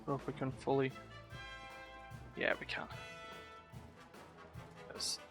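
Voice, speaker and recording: A man's voice: medium pitch, completely flat delivery, slow pace. A man casually commenting as he streams a video game, muttering to himself in short fragments. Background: game music.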